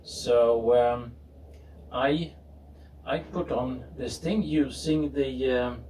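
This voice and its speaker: A man's voice: medium pitch, extremely slow, dark tone, a little hiss or hum. A man presenting his own garage experiment in informal talk, thinking aloud hesitantly with a self-deprecating, amused touch. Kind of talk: informal explanatory video talk. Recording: voice far from the mic.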